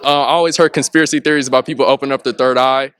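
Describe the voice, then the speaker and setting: A young man, a student filmmaker, answering red carpet questions in an excitable, casual way. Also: medium pitch, very fast, clear, resonant tone. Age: young adult.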